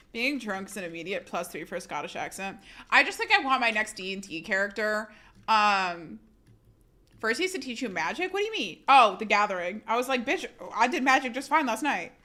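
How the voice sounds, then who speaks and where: A woman's voice: on the high side, big pitch swings, moderate pace, bright, forceful tone. A woman livestreamer chatting casually with her audience, chatty and self-deprecating, often laughing and reading chat aloud.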